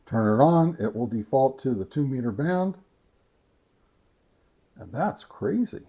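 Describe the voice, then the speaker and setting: Halting, methodical man reviewing gear. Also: medium pitch, animated, mellow, husky. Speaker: host of a radio gear review channel. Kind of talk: casual product test review, talking to viewers.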